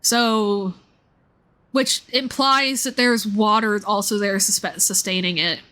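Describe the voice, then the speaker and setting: A woman doing casual livestream commentary on a game, thinking aloud in an excitable, exclamatory way with half-finished thoughts. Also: mid-range voice, slow pace. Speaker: livestream host playing a game and discussing its lore. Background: game music under the voice.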